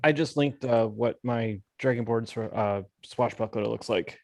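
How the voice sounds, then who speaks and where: A man in upbeat, teasing chat with friends. His pitch sits in the middle range. The sound is dry and close. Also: measured pace, creaky, a little mellow, no echo. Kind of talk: casual chat among friends.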